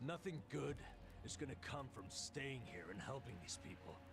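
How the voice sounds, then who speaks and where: An actor playing a guilt-ridden, world-weary man in a video game drama, gruff, blunt and jaded. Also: mid-range voice, big pitch swings, normal speed. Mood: anguished, bitter. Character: bitter, guilt-ridden, world-weary man pushing his friend away. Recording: game music behind the voice.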